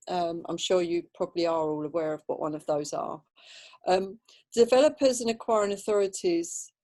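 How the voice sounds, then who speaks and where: A woman's voice: lowish voice, a little mellow. A woman giving an informal, rambling slide presentation on a video call. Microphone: video call microphone.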